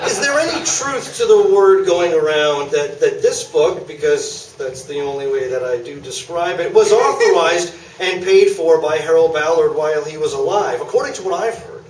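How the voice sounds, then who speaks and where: Man playing a high-pitched sardonic radio show host. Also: lively intonation, natural voice. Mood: sardonic, probing. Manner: halting delivery, dryly accusatory. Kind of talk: staged radio play interview before an audience. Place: small theatre, live staged performance. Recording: roomy distant stage recording with noise.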